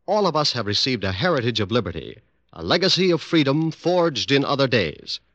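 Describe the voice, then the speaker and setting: A man's voice: mid-range voice, very animated, brisk, a bit bright, no room sound. A man with an American accent making formal, declamatory scripted announcements in mid-century radio style. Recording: dull vintage radio recording with music.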